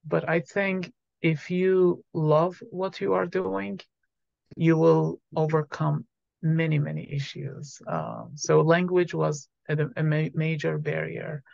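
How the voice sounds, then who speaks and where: A man from Iraq, a doctoral student, answering interview questions politely and steadily with soft fillers. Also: on the high side, level tone, takes it slowly, creaky, dry recording. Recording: dry, muffled lo-fi mic.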